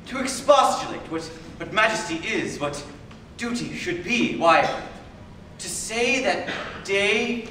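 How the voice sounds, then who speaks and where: A man's voice: fairly high pitch, bright, forceful tone, exaggerated voice. A man acting a pompous old courtier in an echoing hall. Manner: halting, repetitive, drawn-out theatrical phrasing. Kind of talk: classical comedic stage monologue. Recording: very echoey room, far from mic, faint noise.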